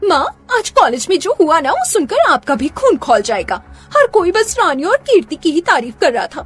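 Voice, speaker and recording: A woman's voice: extremely high pitch, big pitch swings, normal speed. A woman voicing a spiteful, complaining college-age girl in a cartoon drama. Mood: indignant, jealous. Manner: indignant, complaining.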